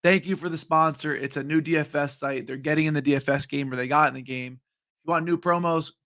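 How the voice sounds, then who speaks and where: A man in his late twenties hosting a sports betting stream, talking casually with many ums, his voice a bit hoarse. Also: fairly high pitch, fairly even intonation, fairly quick, fairly bright tone, dry recording, clean studio sound. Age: late 20s. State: slightly hoarse voice. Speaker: sports betting show host. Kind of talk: live-streamed betting picks talk.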